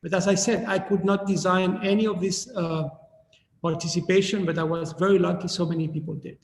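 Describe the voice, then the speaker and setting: A middle-aged man from Chile answers questions over a video call in a high, thoughtful voice. He often breaks off and restarts his phrases. The sound is roomy, with a long reverb trailing each word. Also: level tone. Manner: measured, restarts phrases while thinking. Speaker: artist guest. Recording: echoey room with long reverb.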